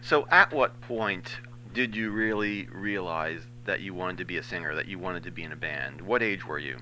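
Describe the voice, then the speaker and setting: Guy interviewer, low-ish voice but really sharp and bright, quick polite questions, bit of hum behind. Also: rough recording. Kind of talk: radio-style interview.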